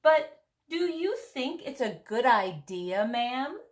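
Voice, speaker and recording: A woman's voice: high voice, very animated, takes it slowly, voice pushed up, exaggerated voice. A woman voicing a fussy, firm female housekeeper from a storybook, emphatic and politely scolding. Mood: flustered, doubtful.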